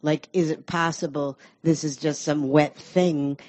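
A woman in the audience thoughtfully sharing an odd reflection, halting as she goes. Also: low voice, steady tone, a little mellow.

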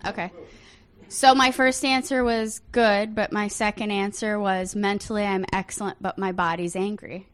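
A woman takes her turn to share in a group discussion, her voice at an ordinary middle pitch. She sounds upbeat and talkative, speaking up so the whole room can hear her. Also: fairly even intonation, moderate pace, fairly bright tone. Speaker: group participant.

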